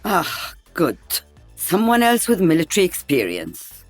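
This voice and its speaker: A woman's voice: fairly low pitch, huge pitch swings, a little slow, no echo, faint music behind, full character voice. A woman playing an older veteran sniper in a video game, wry and teasing. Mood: musing.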